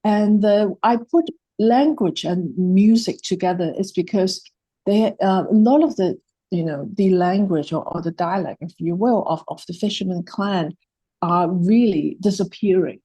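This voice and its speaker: A woman's voice: mid-range voice, measured pace, mellow, no echo. A woman with a Hong Kong accent, a native Cantonese speaker, presenting a project in a hesitant way, with many fillers and restarts. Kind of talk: presentation talk.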